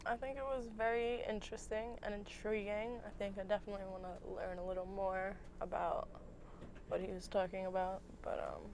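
Young woman, medium-pitched, chatting casually. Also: level tone, fairly quick, darkish tone, a little hiss or hum. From United States. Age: young adult. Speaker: talk show co-host. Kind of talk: casual chat. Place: small TV studio with curtains.

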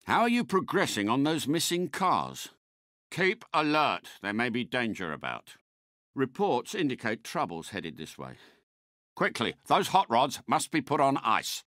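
A courteous British secret agent car in a video game. The delivery is urbane and measured, with precise diction and hugely varied intonation. The tone is bright and crisp-edged, and the temper stays unflappable. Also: male voice, mid-range voice, big pitch swings, no room sound, studio quality. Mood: composed, courteous.

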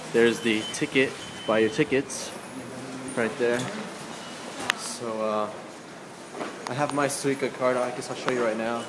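A young adult man casually narrating a walking vlog in a plain, low-key way, recorded on a handheld camera. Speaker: vlogger. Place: outdoors in rain and busy train stations. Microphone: handheld camera at arm's length. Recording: loud street and station noise, handheld camera. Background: urban ambience, trains, vehicles.

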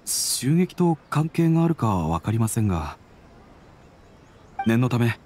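A man playing an earnest detective agency employee in an anime game, speaking in a formal, deferential, measured way. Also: mid-range voice, sing-song, darkish tone. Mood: deferential, helpful. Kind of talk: Japanese anime game dialogue. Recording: faint music under the voice.

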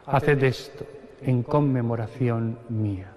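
Middle-aged spanish priest reciting mass prayers, slow and solemn, big cathedral echo. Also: male voice, mid-range voice, a little mellow. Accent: Spanish (Spain). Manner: slow solemn liturgical recitation, parts chanted. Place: large stone cathedral. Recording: long cathedral reverb.

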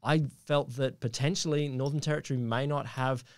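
A man's voice: medium pitch, steady tone, brisk, no room sound, clean studio sound. Stammering man on a podcast. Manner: stammering restarts, musing. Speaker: podcast co-host. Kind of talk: casual chat. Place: small studio room around a table. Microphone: desk mic on stand, headphones.

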